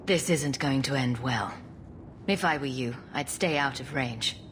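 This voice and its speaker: A woman's voice: rumbling low, unhurried, a bit bright, a little hiss or hum. A woman playing a compassionate champion in a video game, composed and articulate in delivery. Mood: measured. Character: compassionate, level-headed female champion mage. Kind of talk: dramatic video game acting.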